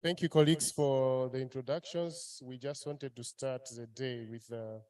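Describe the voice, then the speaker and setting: A man runs a meeting in a plain mid-pitched voice. He talks in halting bursts, full of fillers and restarts, while giving practical announcements. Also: fairly even intonation, dark tone, clean tone. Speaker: meeting facilitator.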